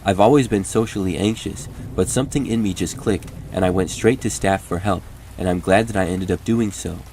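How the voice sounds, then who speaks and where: Deep-voiced man narrating horror stories. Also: brisk, a little mellow, slight background noise, rough recording. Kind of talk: scripted horror story narration.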